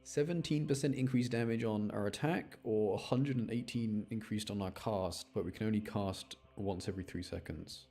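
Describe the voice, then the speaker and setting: A man's voice: on the low side, normal speed, few pauses, mellow, soft background music. A man doing casual live game commentary for his chat, rambling and thinking aloud as he plays. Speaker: game streamer.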